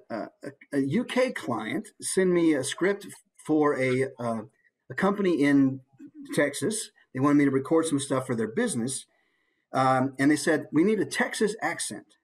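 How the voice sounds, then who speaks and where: A man from the United States chatting casually as a workshop presenter, encouraging and telling stories in a jokey way. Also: a little high. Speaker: presenter, voice actor. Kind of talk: casual chat with workshop attendees.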